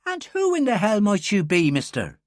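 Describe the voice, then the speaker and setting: A man voicing a fierce young Irish farm woman of about twenty, with an Irish accent and a sharp, defiant way of talking. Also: sits high, huge pitch swings, moderate pace, no room sound, studio quality, voice pushed up.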